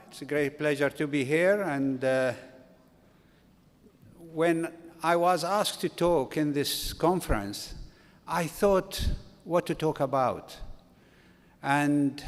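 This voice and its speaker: Older male conference speaker from Iraq, halting delivery, slightly high voice, reverberant hall. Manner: slow, halting delivery with restarts. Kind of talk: academic conference talk.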